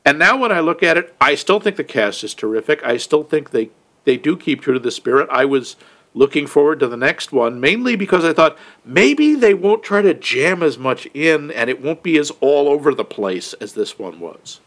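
A man co-hosting a podcast, sharing his view of a film in casual chat, easygoing and measured. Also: fairly high pitch, expressive, a bit bright. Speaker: podcast co-host.

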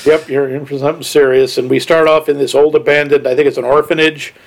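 A man's voice: on the high side, little pitch movement, fast, creaky. A man co-hosting a casual film review chat, easygoing and wry. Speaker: film podcast co-host.

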